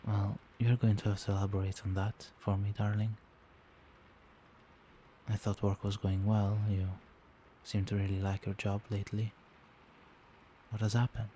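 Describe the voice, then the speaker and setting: A man playing a doting, protective vampire boyfriend in a soft-spoken, soothing and attentive ASMR roleplay. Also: lowish voice, little pitch movement, moderate pace, pauses often, slight background noise, lightly acted. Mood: tender, concerned. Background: rain.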